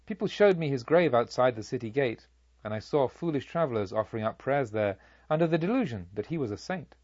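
A man voicing a serene, wise holy monk who gently admonishes in a calm, measured, knowing way, as in an audiobook. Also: mid-range voice, dry recording, lo-fi sound, close to an everyday voice. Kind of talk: dramatised audiobook dialogue.

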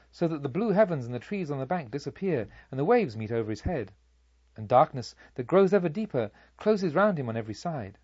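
A man narrating a literary story as an audiobook, with expressive, deliberate and dramatic phrasing. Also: mid-range voice, slightly rough, no echo, dull audio.

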